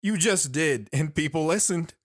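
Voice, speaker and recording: A man playing a sorrowful, philosophical lone wanderer in an audio drama, speaking earnestly and deliberately. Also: a little high, no room sound, studio quality, close to an everyday voice. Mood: brooding.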